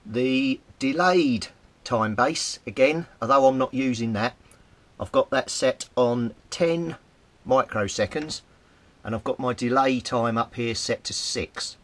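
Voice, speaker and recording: A man's voice: mid-range voice, slow, fairly bright tone. A man with a British accent presenting an informal hands-on demonstration in a plain-spoken, explanatory way. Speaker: hobbyist video presenter. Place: small indoor hobby workshop.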